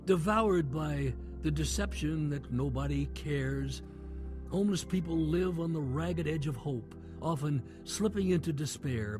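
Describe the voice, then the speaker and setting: Solemn male radio announcer. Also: on the high side. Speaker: announcer and narrator. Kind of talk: scripted radio narration. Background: music bed.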